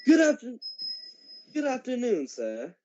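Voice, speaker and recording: A man playing a polite, earnest gentleman collecting for charity in a radio drama, speaking formally and a little haltingly. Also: extremely high pitch, huge pitch swings, lo-fi sound, a little hiss or hum, exaggerated voice. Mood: courteous.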